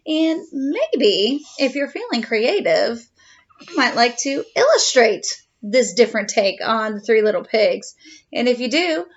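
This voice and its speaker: A woman's voice: a little high, a bit bright, dull audio. A woman hosting a children's read-aloud, speaking expressively and putting questions to her young listeners. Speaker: storytime reader.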